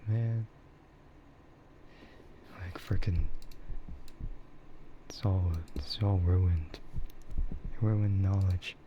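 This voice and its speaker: A soft-spoken man chatting casually and haltingly in a laid-back ASMR livestream style. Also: deep voice, steady tone. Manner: chuckles often while talking. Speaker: VTuber livestreamer. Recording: muffled audio with clear background noise.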